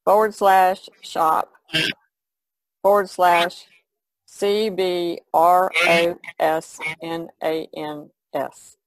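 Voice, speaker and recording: Woman, podcast co-host, low-ish pitch, heavy vocal fry, casual. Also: flat delivery, very slow, no echo, rough recording.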